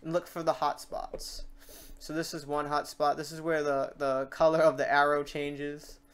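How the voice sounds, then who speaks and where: A man with a clear, smooth voice set a little high talks through a software tutorial. He is informal and rambling, thinking out loud as he works at his computer. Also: level tone, normal speed. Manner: informal, rambling, thinks aloud while working. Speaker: tutorial host. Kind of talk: casual screen-share software tutorial. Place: indoors at a computer.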